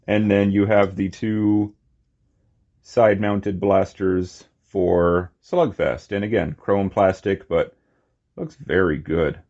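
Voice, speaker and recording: A man giving a casual, unscripted hands-on toy review, talking in short, halting phrases on a slightly muffled recording. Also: low-pitched, darkish tone. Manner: halting, short phrases while handling objects.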